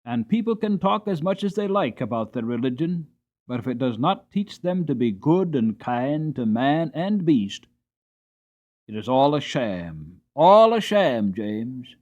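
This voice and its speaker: A man's voice: mid-range voice, very animated, measured pace, darkish tone, highs cut off. A man voicing a staunch, emphatic Victorian coachman. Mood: earnest, fervent. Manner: emphatic, decided, respectful. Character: loyal, plain-spoken Victorian coachman, staunch and earnest. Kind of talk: dramatic audiobook character reading.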